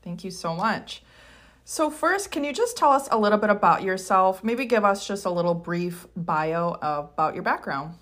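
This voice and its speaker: A woman's voice: medium pitch, expressive, normal speed, fairly bright tone. A woman hosting a podcast who reads a scripted welcome and then questions a guest, answering her with brief affirmations. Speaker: podcast host. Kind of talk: podcast interview.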